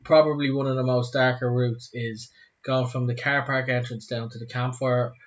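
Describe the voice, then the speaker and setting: A man's voice: mid-range voice, flat delivery, normal speed, highs cut off. A man with an Irish accent, co-hosting a podcast and reading a story aloud. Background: music.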